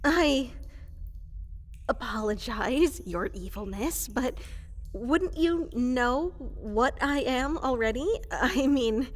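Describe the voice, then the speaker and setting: Woman acting as a small fluffy fantasy creature girl, high voice, unhurried halting delivery, deferential, apologetic, comedy roleplay, reverberant hall. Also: expressive, a little hiss or hum. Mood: flustered, apologetic. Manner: halting, stammering, apologetic, overly formal. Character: small, fluffy, eager llama-centaur monster girl, flustered and deferential. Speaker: applicant for evil advisor post.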